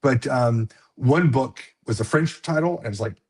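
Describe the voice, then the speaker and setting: Husky-voiced man rambling on a call. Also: mid-range voice, normal speed. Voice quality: slight lisp. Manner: chatty, rambling, many fillers and restarts. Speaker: author guest. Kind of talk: casual live-stream interview.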